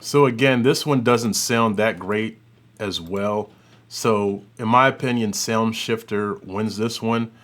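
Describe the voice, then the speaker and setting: A man hosting an audio software tutorial, giving an informal, unscripted demonstration and thinking aloud as he works. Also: on the low side, takes it slowly, slight background noise. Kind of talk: informal demonstration and review commentary.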